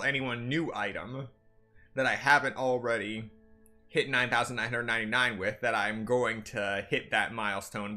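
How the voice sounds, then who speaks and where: A male game streamer with a mid-pitched, bright voice talks through his thoughts live. He speaks slowly and deliberately, in halting phrases. Faint music plays behind him. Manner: deliberate, halting phrasing with frequent throat clearing.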